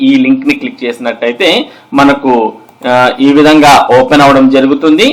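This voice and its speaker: A man's voice: medium pitch, poor audio. A man explains news updates step by step in an instructional way, addressing viewers directly. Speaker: host of an education and job news channel.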